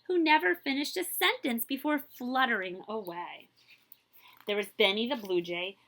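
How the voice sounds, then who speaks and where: A woman reads a children's story aloud in a bright, slightly high voice. Her delivery is animated and expressive, swinging widely in pitch and leaning slowly on key words. She speaks straight to her young listeners as a librarian would at story time. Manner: expressive, drawn-out emphasis, checks in with listeners. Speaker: librarian reading a picture book aloud.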